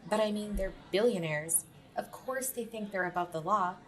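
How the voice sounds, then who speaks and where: An actress playing a skeptical, sardonic woman, a sharp-tongued friend in an audio drama. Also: medium pitch, moderate pace, natural voice, low-quality recording. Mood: sardonic, sure.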